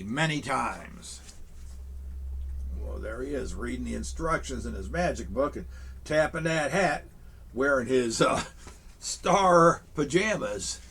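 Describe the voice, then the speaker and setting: Gravelly man reading a children's book. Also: medium pitch, a little hiss or hum. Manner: warm, chatty grandfatherly asides to children. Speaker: storyteller in a Santa persona. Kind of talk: children's book read-aloud. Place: small studio set, indoors.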